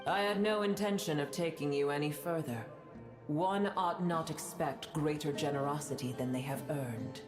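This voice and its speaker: A woman's voice: sits low, normal speed, lightly acted. A woman playing a proud, disdainful ancient dragon god in a video game, formal and scornful, in a roomy echo. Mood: haughty, scornful. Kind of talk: scripted video game dialogue. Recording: roomy echo, music underneath. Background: music.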